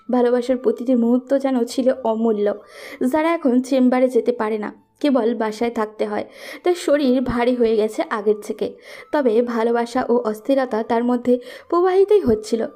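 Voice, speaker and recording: Mellow, higher-voiced woman narrating a romance. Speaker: story narrator. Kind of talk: scripted romantic story narration.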